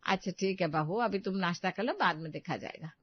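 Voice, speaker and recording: A woman voicing a patient, practical middle-class mother-in-law in an animated moral story. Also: fairly low pitch, brisk, husky, no room sound, dull audio. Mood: patient, reasoning.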